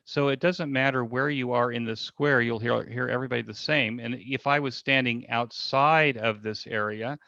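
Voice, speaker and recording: A man casually explaining and demonstrating something as an interview guest on a video call, in a halting way with repeated phrases. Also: medium pitch, steady tone, creaky, bright, forceful tone, no echo. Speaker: event organizer guest demonstrating a platform. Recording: slightly dull, band-limited audio.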